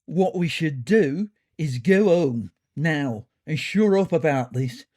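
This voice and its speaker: Storybook tomcat played by a narrator: growly, gruff, commanding, urgent, with swinging pitch. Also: expressive, slow pace, darkish tone. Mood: stern, urgent. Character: gruff growling male cat, bossy and alarmed. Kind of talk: audio storybook character voice.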